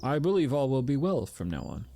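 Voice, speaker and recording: An actor in an audio drama playing an earnest personified emotion, with stiff, archaic phrasing and halting delivery. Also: male voice, mid-range voice, huge pitch swings, creaky, dark tone, full character voice. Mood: gentle, formal. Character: Fear, formal earnest male personified emotion, newly calm.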